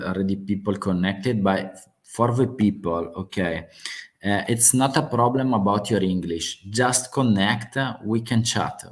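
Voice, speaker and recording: A man from Italy with a strong Italian accent, hosting a casual live chat about world affairs. He speaks in English with many fillers and restarts. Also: lowish voice. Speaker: host of live geopolitics chat. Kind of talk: casual live-stream talk.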